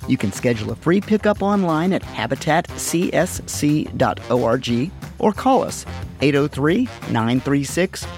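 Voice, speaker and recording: A man delivers a community radio ad in a bright, promotional way. His voice sits somewhat high and swings through a wide, lively melody. Soft music plays behind him. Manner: upbeat, promotional announcer delivery. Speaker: radio ad announcer. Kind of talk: radio ad read. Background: faint music bed.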